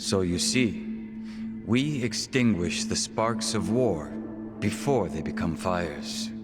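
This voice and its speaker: A coolly polite criminal fixer from a video game. He talks slowly in a low voice, measured and composed. Loud music plays under him. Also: expressive. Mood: smug, composed. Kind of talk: English video game dub acting.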